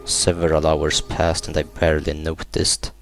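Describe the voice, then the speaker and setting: A man reading the text of a visual novel aloud in a measured, halting way, with pauses between phrases. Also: rumbling low, completely flat delivery, creaky. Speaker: gaming video host reading aloud. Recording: loud music behind the voice. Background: loud game music.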